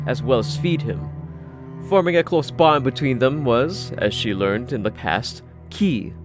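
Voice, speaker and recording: Male story narrator over music. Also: medium pitch. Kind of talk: scripted audiobook story narration. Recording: music behind the voice. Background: background music.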